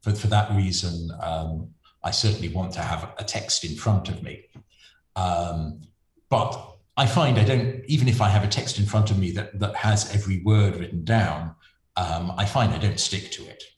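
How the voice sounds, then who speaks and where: A man's voice: low-pitched, moderate pace, roomy sound. A man, a friar and preacher, speaking thoughtfully in an interview, hesitant, with many ums and restarts. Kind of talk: reflective interview conversation.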